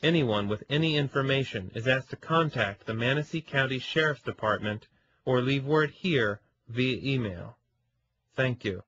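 A man speaking as a producer, reading a formal, serious announcement in a measured way. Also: mid-range voice, fairly bright tone, husky. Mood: sober, formal. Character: sober male producer reading a public appeal. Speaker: executive producer making an announcement. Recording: slightly dull lo-fi audio.

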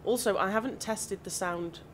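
A woman with a slightly croaky voice from hay fever, chatting casually and thinking aloud as she plays. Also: medium pitch, normal speed. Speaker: gaming channel host. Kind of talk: casual gameplay commentary. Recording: audible background noise.

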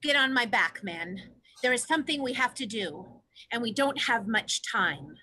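Female orca leader woman actor: forceful, higher voice, earnest and solemn, declaiming hope in a play reading. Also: expressive, normal speed, breathy edge, exaggerated voice, rough recording. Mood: earnest, urgent. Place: home room, video call.

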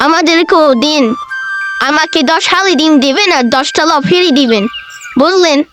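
A woman's voice: sits high, normal speed, a bit bright, faint music behind. A woman voicing an eager, demanding little animal customer in a cartoon. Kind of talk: cartoon voice acting.

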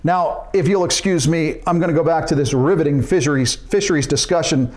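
A man's voice: on the high side, brisk. A man in his fifties hosting a casual report to camera in a folksy, conversational way. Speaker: host. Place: indoors in a home office.

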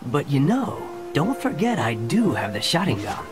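A man's voice: a little high, big pitch swings, normal speed, music in the background. An actor playing an easygoing, teasing yet resolute young male ninja in an anime dub, casual and earnest. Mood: playful teasing.

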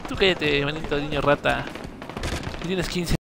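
A man's voice: on the high side, a little slow, vocal fry, noisy background. A young man, casually streaming a game and teasing his friend. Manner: teasing, playful insults. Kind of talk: casual gaming livestream banter.

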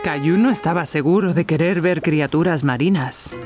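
A woman narrating a children's cartoon as a gentle storyteller for young children. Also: sits low, moderate pace, music in the background, close to an everyday voice. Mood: gentle storytelling.

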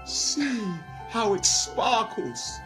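An earnest royal attendant from a pantomime. He speaks very slowly and portentously on a video call, with clear music behind him. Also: very high-pitched, very animated, heavily acted. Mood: awed, portentous. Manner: slow, portentous.

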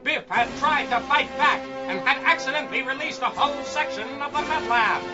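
A man's voice: very high voice, fairly quick, dull audio. A man playing a bombastic announcer narrating a pulp space-adventure radio serial in melodramatic old-time style. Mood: dramatic, suspenseful. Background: loud music.

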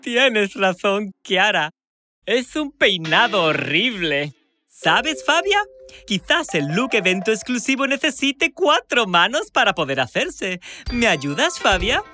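A man voicing a flamboyant, dramatic hairdresser uncle in a cartoon, with a Castilian Spanish accent and a theatrical, drawn-out delivery. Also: high-pitched, very animated, pushed and bright, heavily acted. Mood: dejected, then thoughtful. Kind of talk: animated cartoon dub. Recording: studio voice under background music.

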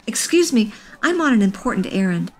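A woman voicing a gentle, earnest young boy, polite and steadfast, in a children's religious storybook. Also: mid-range voice, very animated, fairly quick, close to an everyday voice. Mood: politely firm. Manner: earnest, courteous.

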